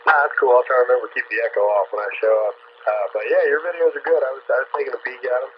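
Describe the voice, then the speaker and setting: A man's voice: mid-range voice, racing. A young man chatting casually over CB radio as a hobbyist, in laid-back, slangy talk. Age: young adult. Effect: CB radio transmission. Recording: muffled, noisy CB radio transmission.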